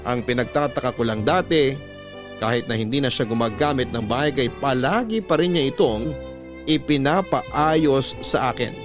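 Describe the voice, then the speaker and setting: A man with a mid-pitched voice narrates a scripted first-person story in the manner of a radio storyteller. Violin music plays clearly behind him. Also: normal speed.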